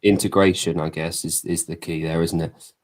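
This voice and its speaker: Podcast host guy, lowish voice, relaxed, lots of ums while he sets up questions. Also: normal speed. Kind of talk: casual interview chat.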